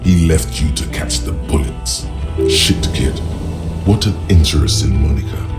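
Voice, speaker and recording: Very deep wry inner-voice narrator. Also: male voice. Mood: musing. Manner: measured, wry, literary. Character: deep brooding inner voice, sardonic male narrator. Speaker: game narrator. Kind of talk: scripted narration. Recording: music behind the voice.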